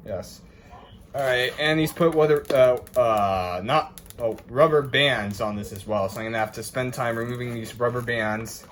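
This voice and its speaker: A man's voice: mid-range voice, moderate pace. A man hosting a casual, rambling unboxing video in an informal way. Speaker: video host. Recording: noisy home recording with clicks.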